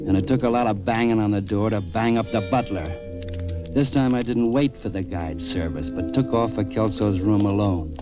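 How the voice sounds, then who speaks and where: An actor playing a tough, wisecracking police detective in an old radio crime drama, with a clipped, sardonic delivery. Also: male voice, lowish voice, lightly acted. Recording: old radio recording with music.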